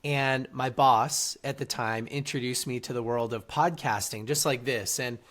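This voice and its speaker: Earnest man with a mid-pitched voice. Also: steady tone, a little slow, a bit bright. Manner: earnest, motivational. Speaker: guest, author and entrepreneur. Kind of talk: casual interview storytelling.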